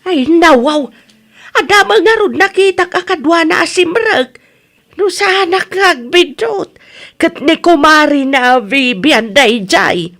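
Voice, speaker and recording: A woman playing an aunt in an Ilocano comedy radio drama, with an Ilocano accent and unhurried delivery. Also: very high-pitched, animated, a bit bright, exaggerated voice. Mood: gossipy, insistent. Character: aunt chatting with her grown relative.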